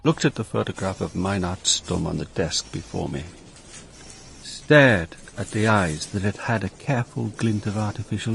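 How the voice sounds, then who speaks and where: An actor playing a world-weary, middle-aged police inspector, narrating his case in a measured, dry, reflective radio drama style. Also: on the low side, gravelly, a bit bright, a little hiss or hum, full character voice, rough recording. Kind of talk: radio drama, first-person detective narration.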